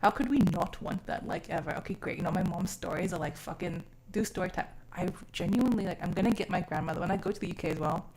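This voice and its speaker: A woman from South Africa who grew up mostly abroad talks to her live stream viewers. Her delivery is casual and expressive, with an informal, sweary way of putting things. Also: fairly low pitch, quick pace, hardly any pauses, a little hiss or hum. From born in South Africa, grew up mainly overseas from age six. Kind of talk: casual chat.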